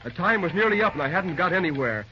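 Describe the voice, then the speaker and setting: An actor playing an enthusiastic, optimistic young railroad man with breezy, excited talk in an old-time radio drama. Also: high voice, fast talker. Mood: confident. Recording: dull, faintly noisy vintage radio recording.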